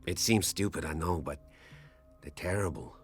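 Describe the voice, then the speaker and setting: A man's voice: low voice, expressive, normal speed, faint music behind. An actor playing a troubled, sleepless, self-conscious male patient in a video game, speaking hesitantly and broodingly. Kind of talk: video game cutscene acting.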